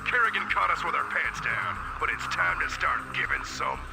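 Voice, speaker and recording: An actor playing a gritty, urgent military commander barking orders in a video game. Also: male voice, mid-range voice, wildly swooping pitch, normal speed, creaky, over loud music, rough recording. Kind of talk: dramatic video game voice acting.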